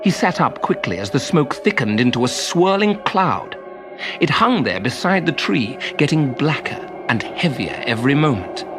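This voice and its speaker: A man reads a children's tale as narrator. His fairly high voice moves expressively through the story, with music clearly audible underneath.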